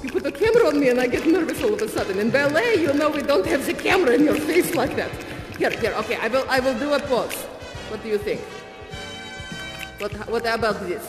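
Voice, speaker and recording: A man's voice: very high voice, animated. A man playing a gushing, theatrical prima ballerina dance instructor, grandiose and doting, performing live on stage as a contest host. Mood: flustered. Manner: effusive, grandiose, doting, drawn-out delivery. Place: large echoey stage venue. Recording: echoey hall PA, music behind.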